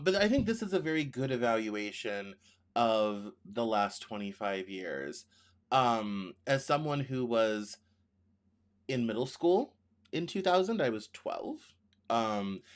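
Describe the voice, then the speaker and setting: A man in his thirties hosts a news show in a loose, conversational way. He rambles and thinks out loud, often stopping to correct himself mid-sentence. His voice sits at an ordinary middle pitch for a man. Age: 30s. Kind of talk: casual spoken news commentary.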